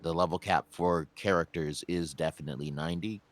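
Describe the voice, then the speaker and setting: A man's voice: fairly even intonation, fairly quick. A man co-hosting a livestream, chatting casually with self-deprecating humor, and relaying a guest's words as he rambles along. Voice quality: very deep bass voice. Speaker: stream co-host relaying a guest.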